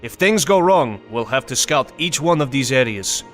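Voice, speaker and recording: A steady, resolute military commander played by a male actor briefs his squad in a game cutscene. He is matter-of-fact and commanding, with a medium-pitched voice and music playing behind him. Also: normal speed, natural voice. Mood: businesslike. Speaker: squad leader giving mission briefings.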